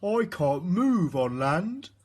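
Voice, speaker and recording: A man voicing an immense, gentle, plaintive humpback whale from a storybook, drawing out his words. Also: high-pitched, big pitch swings, slow pace, breathy edge, voice pushed up, full character voice. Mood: moaning, helpless.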